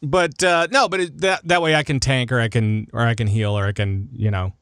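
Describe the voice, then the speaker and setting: A man's voice: mid-range voice, steady tone, brisk, fairly bright tone, clear, resonant tone, no echo, clean studio sound. Casual joking man hosting a podcast. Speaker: podcast host. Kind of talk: casual gaming podcast chat.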